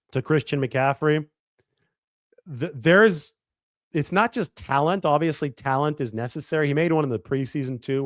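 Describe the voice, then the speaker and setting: A man in his thirties talking through a football analysis on his own show, quick and analytical, often restarting and correcting himself mid-sentence. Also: mid-range voice, no echo, studio quality. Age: 30s. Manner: quick analytical delivery with frequent self-corrections. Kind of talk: sports analysis monologue.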